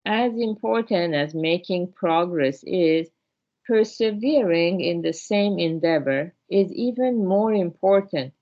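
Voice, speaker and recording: A woman voicing a kind, wise male Buddhist master in a storybook, gently instructive, on a dull recording. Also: fairly low pitch, takes it slowly. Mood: encouraging, instructive. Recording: dull, lo-fi sound.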